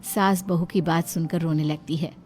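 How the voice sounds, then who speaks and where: A woman's voice: deep voice, fairly even intonation, normal speed, a little mellow, a little hiss or hum. A woman narrator reading a scripted moral family tale.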